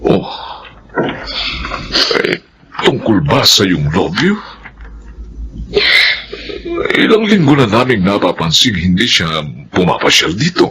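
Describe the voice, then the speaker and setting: Older Filipino father, low voice, wildly varied pitch, protective, radio drama. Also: very animated, unhurried, a bit bright, slight background noise, full character voice. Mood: concerned. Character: concerned older father, protective and quick to anger.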